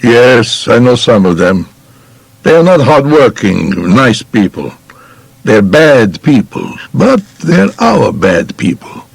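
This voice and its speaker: A man voicing a guarded, plainspoken Sicilian immigrant father who speaks slowly and emphatically in an audiobook. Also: mid-range voice, very animated, vocal fry, slightly rough, dry recording, heavily acted. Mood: grave. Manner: slow, emphatic.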